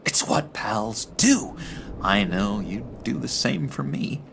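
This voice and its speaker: A man playing a needy, unhinged misfit in a video game, gushing and halting as he pleads for friendship. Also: fairly low pitch, lively intonation, gravelly, slight background noise, full character voice. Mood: eager, nervy. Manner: gushing, halting, giggly, swinging between chirpy and hushed.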